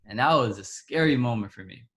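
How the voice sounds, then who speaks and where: A man from Ethiopia, interviewed and telling a personal story in a halting way, with frequent restarts and soft, trailing asides. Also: medium pitch, animated.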